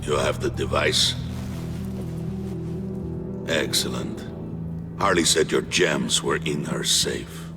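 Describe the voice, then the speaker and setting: Menacing game villain man, really really deep, curt, music behind him. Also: steady tone, gravelly, full character voice. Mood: cold, commanding. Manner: curt, deliberate. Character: cold, menacing male villain, curt and commanding. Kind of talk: video game voice acting. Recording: game audio under loud music.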